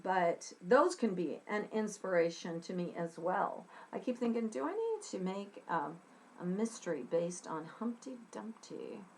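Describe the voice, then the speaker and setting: A middle-aged woman from Texas teaching scriptwriting in a chatty, animated way, talking to a webcam in a small room. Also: mid-range voice, unhurried, noisy background. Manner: chatty, animated, gesturing with her hands. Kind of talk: casual talk to camera. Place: small room with a dark curtain behind.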